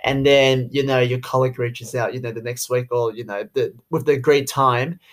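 A man's voice: medium pitch, steady tone, normal speed. Rambling young Australian man. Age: 20s. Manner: rambling, heavy use of "you know" fillers. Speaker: podcast host, sales rep. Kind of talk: casual monologue.

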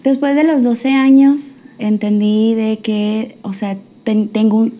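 Soft-spoken young woman telling her story. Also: fairly high pitch, fairly even intonation, slow pace. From United States. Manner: hesitant, many fillers and restarts. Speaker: guest. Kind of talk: testimony interview.

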